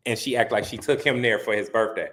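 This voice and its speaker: A man's voice: on the low side, fast talker, fairly bright tone. A young man from the Midwest, a guest in a casual chat about reality TV, gossiping and acting out the voices of people he quotes.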